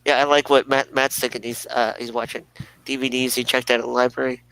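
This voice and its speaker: A man's voice: medium pitch, level tone, fairly quick, vocal fry, a bit bright, clean tone, poor audio. A man co-hosting a talk show in casual, unscripted conversation.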